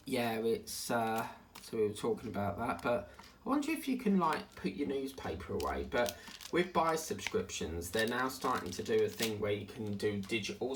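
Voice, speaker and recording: A man's voice: lowish voice, fairly bright tone, a little hiss or hum. Chatty Essex man with a South African tinge. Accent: Essex English with a South African tinge. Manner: chatty, digressive, hums and sings to himself. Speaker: crafting channel host. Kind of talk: rambling casual chat while working.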